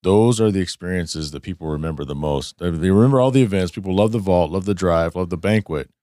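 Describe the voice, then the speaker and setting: A man hosting a casual podcast, hoarse and losing his voice, talking in a loose, rambling way with some swearing. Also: sits low, quick pace, dark tone, no echo, clean studio sound. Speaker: podcast host. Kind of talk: casual chat. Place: studio.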